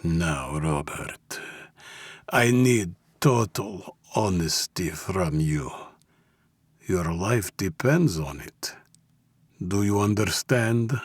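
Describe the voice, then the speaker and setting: A man's voice: low-pitched, animated, extremely slow, breathy edge, no room sound, exaggerated voice. A man voicing a suave Russian mob boss in an audiobook, with a clipped accent and pleasant, condescending politeness. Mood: menacing calm. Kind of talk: audiobook character acting.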